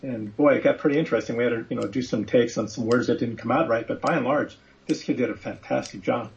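A man with a creaky, gravelly voice of medium pitch answers as an interview guest. His delivery is casual and conversational. The recording sounds a little dull. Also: fast, slight background noise.

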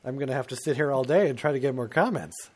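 A man's voice: medium pitch, quick pace, a little mellow. A middle-aged man co-hosting a talk show in casual comic chat, rambling and self-mocking, slipping into put-on voices to quote himself.

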